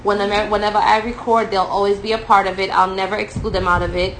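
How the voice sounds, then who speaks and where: A woman's voice: medium pitch, flat delivery, quick pace, a bit bright, slight background noise, rough recording. A woman in her thirties from Jamaica with a Jamaican accent, hosting a podcast and chatting in a storytelling way straight to her listeners. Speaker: podcast host. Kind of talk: casual podcast monologue.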